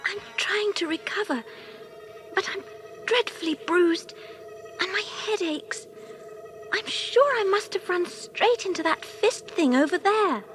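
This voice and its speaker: A man's voice: extremely high pitch, sing-song, unhurried, few pauses, a bit bright, soft background music, voice pushed up, exaggerated voice. A man voicing an anxious, earnest child in a storybook, with a plaintive manner. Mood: pained, weary.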